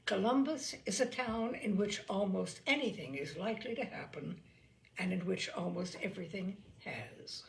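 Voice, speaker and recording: A woman reading literary stories aloud as a storyteller, with measured, deliberate, expressive phrasing. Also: medium pitch, vocal fry.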